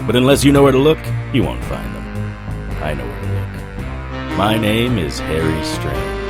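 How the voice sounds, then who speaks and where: An actor playing a sardonic, weary supernatural detective in a radio drama with noir-style narration. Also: male voice, rumbling low, big pitch swings, unhurried, vocal fry, loud music behind the voice, full character voice. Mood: hard-boiled narrating. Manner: sardonic, dry.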